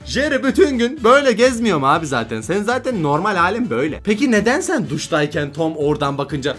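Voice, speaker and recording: A man's voice: high voice, sing-song, a little fast, fairly bright tone. A man in his twenties giving casual, comedic reaction commentary in an incredulous, questioning way. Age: 20s. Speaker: YouTube host. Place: small indoor home studio. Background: background music.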